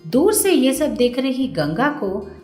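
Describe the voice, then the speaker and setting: Female narrator for children's tales: high, animated delivery, roomy echo, faint music underneath. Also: slow. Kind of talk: scripted children's story narration.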